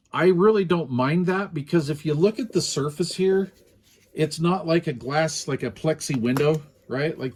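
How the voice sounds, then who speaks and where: A middle-aged man reviewing a small two-way radio in a relaxed, rambling way, with lots of fillers. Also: medium pitch. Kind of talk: casual product review.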